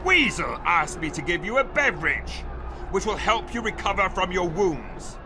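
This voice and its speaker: An actor in a video game playing a mocking, gloating master of a fighting pit, with a sneering, theatrical, taunting delivery. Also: male voice, high-pitched, big pitch swings, moderate pace, noisy background. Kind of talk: dramatic video game voice acting.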